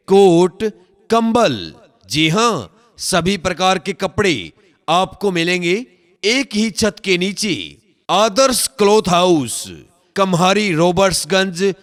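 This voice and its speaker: Male promo announcer, unhurried and emphatic, phrase by phrase, heavy hall reverb on the recording. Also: high voice, a bit bright. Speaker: advertisement announcer.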